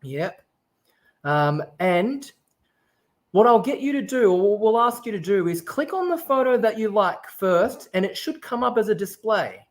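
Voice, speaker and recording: A man's voice: sits high, very animated, a little slow. A man raised in Japan, casually hosting an online festival stream and explaining things step by step in an upbeat way.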